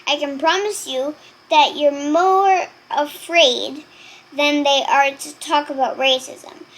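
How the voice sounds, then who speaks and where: A young girl reading aloud and chatting to viewers in a halting, deliberate way. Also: high voice, a bit bright, rough recording. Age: child.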